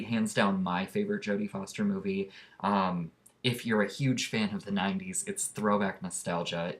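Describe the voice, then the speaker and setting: A man vlogging casually, talking in an enthusiastic, rambling, earnest way as he recommends a movie. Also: fairly low pitch.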